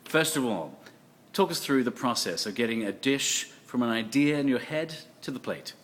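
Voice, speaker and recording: A man's voice: mid-range voice, expressive, moderate pace. Upbeat male interviewer. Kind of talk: playful scripted interview questions.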